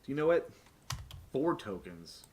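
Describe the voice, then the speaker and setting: A man with a mid-pitched voice works as game master on a casual stream, joking and swearing as he sets up the action. Faint background noise and keyboard clicks sit behind him. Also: sing-song, a little slow, a little mellow. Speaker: game master of a tabletop role-playing game.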